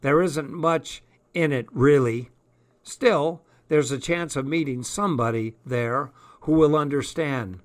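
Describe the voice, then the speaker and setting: A man reading aloud and voicing a weary, bitter gentleman tramp who talks grimly. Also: a little high, a little slow, close to an everyday voice. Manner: grim, bitter.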